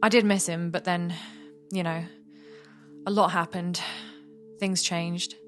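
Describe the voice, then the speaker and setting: Casting: reflective young woman artist, lower-pitched voice, earnest confessional audio-drama storytelling, music underneath. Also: steady tone, takes it slowly. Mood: wistful. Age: young adult. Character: young woman artist, reflective, warm, wistful about an old friend. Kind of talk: audio play monologue and dialogue.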